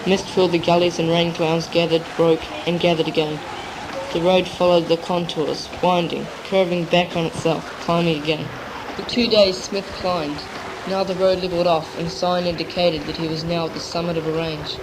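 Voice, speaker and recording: Flat teenage narrator on a noisy tape. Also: medium pitch, normal speed. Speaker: narrator in a school drama. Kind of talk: scripted third-person literary narration. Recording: noisy amateur 1980s cassette tape.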